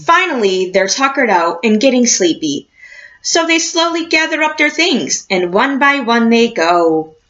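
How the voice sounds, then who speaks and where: A woman reading a picture book aloud like a teacher, expressive and encouraging, addressing young children. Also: on the high side, a little slow, muffled recording. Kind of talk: storybook read-aloud with questions to a class.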